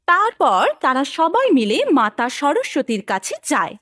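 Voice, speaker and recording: A woman narrates a scripted children's tale. Her voice is high and very expressive in pitch. The recording is close and dry. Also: a little slow, no echo.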